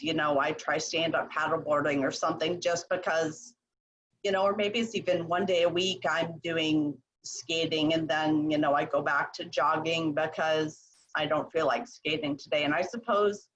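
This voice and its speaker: Woman, fairly low voice, host, relaxed, reflective, fillers. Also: highs cut off. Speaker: coach, host. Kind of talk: casual video call interview.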